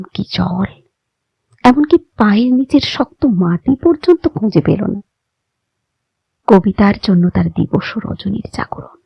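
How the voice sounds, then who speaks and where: Woman reciting poetry real slow n dramatic, husky voice, kinda muffled dry recording. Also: on the high side, dry recording. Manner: expressive, measured, with deliberate dramatic pauses.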